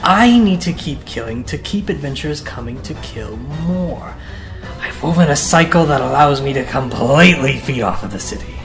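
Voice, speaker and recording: A man's voice: on the high side, lively intonation, moderate pace, soft background music. A man playing a taunting, theatrical villain, a vampiric false priest, in a fantasy audio drama. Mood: gloating menace. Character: gloating vampiric villain disguised as a priest, scheming.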